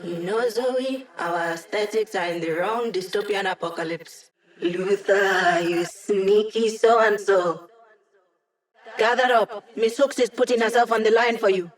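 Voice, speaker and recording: Woman playing young hacker woman, digital mind, medium pitch, casual, teasing, upbeat, video game character. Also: unhurried, lightly acted. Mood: wry, upbeat.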